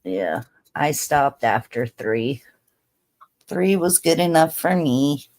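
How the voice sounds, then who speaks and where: Woman, 30s, easygoing livestream host; slow, rambling casual delivery. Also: deep voice, expressive, a little mellow. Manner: laid-back, chatty, lots of fillers. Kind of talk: casual chat with viewers.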